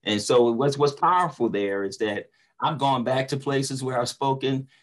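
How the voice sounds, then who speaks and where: A man's voice: medium pitch, moderate pace. An older man giving earnest, inspirational talk as a motivational speaker on a video call. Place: indoor room on a video call.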